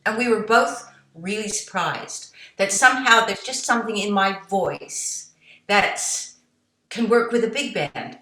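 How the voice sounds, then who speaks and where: Casting: middle-aged woman interview guest, bright forward voice, animated storyteller, roomy echo. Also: mid-range voice. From United States. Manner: animated, tells stories with quoted asides and laughs. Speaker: interview guest, jazz singer and songwriter.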